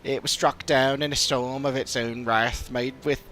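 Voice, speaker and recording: A man voicing a courteous, scholarly old father in a fantasy game, speaking in a formal, measured, old-fashioned way. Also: medium pitch, fairly even intonation, fairly bright tone, a little hiss or hum, lightly acted. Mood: thoughtful. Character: courteous old father, scholarly theorist recovering from long illness. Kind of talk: fantasy game dialogue read aloud.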